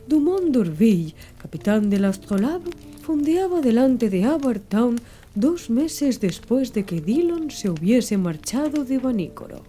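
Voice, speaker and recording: A woman narrating a literary audiobook in a measured, formal reading style. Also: on the high side, big pitch swings, dark tone, faint music behind.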